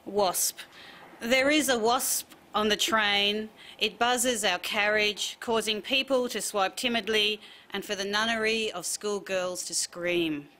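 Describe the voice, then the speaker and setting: A woman's voice: medium pitch, a little slow, few pauses, sharp tone. A woman reading short personal literary pieces as a writer. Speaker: writer reading own work.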